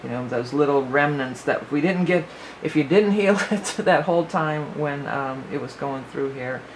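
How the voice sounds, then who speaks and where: A woman's voice: sits low, moderate pace, a little hiss or hum, low-quality recording. A woman giving a casual spoken astrology forecast as a psychic reader, rambling and thinking aloud with many fillers, her voice a little worn.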